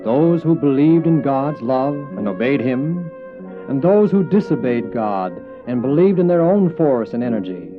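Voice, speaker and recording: A man's voice: mid-range voice, lively intonation, a little slow. Solemn male narrator over music. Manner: solemn, formal. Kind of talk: dramatic scripted narration of a Bible story. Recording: dull old cassette, music underneath.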